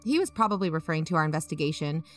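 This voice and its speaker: A woman's voice: low-pitched, expressive, rapid-fire, faint music behind. A woman playing an earnest young amateur investigator and podcaster, probing in a natural, matter-of-fact way. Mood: concerned, probing. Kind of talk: audio drama narration and natural phone dialogue.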